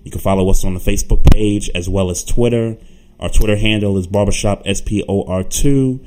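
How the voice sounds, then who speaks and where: A very deep-voiced man hosts a laid-back sports talk show. He talks loosely, repeating words and restarting as he thinks. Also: a little fast, noisy background. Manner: relaxed, stammers and repeats words. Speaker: podcast co-host.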